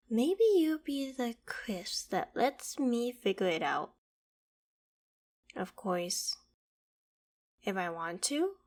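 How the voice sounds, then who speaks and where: A woman playing an ancient, haughty dragon in a theatrical ASMR roleplay, with deliberate, imperious phrasing. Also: medium pitch, darkish tone, lightly acted. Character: ancient female dragon, haughty, menacing, curious about humans. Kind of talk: ASMR roleplay, dramatic monologue to the listener.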